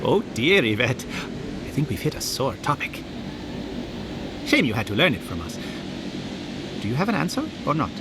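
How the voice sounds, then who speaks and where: An actor playing an eccentric, giddy scholar in a video game, morbidly curious and smugly condescending. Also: male voice, medium pitch, moderate pace, long pauses, very noisy. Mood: smug mock sympathy. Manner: excitable, smug, mock-sympathetic. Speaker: game character, researcher. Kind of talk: theatrical video game acting.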